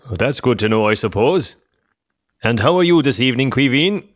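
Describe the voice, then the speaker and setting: A man playing a gentle, affable Irish father in a children's audio drama. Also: mid-range voice, lively intonation, normal speed, natural voice.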